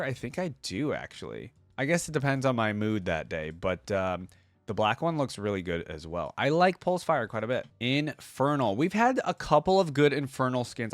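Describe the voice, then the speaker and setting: A man with a medium-pitched voice gives informal reaction commentary as the host of a gaming video. He talks casually and thinks aloud as he weighs his opinions. The recording is close and very dry, with no room echo.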